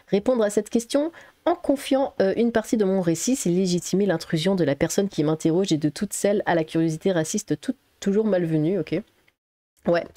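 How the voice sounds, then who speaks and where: Mellow, sarcastic French woman commentator. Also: mid-range voice, no echo. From Alsace, France. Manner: sarcastic, exasperated asides. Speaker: online commentator. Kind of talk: reading an article aloud with casual reaction commentary.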